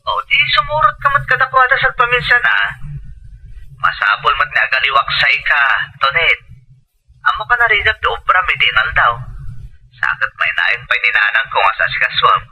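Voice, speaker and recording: A man plays a patient, hardworking husband phoning home in an audio drama. His voice is very sharp and bright through a telephone filter, fairly high and lively. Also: normal speed, long pauses, heavily acted, poor audio. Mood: earnest. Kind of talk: Ilocano audio drama acting.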